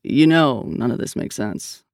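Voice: medium pitch, big pitch swings, measured pace, gravelly, no room sound, voice pushed down. An audiobook narrator playing a weary, skeptical male police partner, blunt and dryly sardonic. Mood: reluctant, placating. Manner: dry, sardonic, reluctant.

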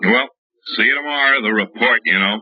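An actor playing a grim, matter-of-fact police lieutenant in 1940s radio drama style. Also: male voice, lowish voice, brisk, slightly rough, no echo. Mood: reflective. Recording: noisy, muffled old radio recording.

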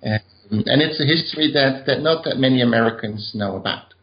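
A man with a Dutch accent, a native Dutch speaker and professor, explaining history in a measured way with many pauses. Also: mid-range voice, muffled recording. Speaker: professor, guest author. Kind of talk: interview answers.

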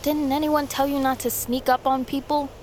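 A woman's voice: sits high, flat delivery, moderate pace, darkish tone, a little hiss or hum, close to an everyday voice. An actress playing a wary, guarded teenage girl who is easily spooked, in a naturalistic audio drama.

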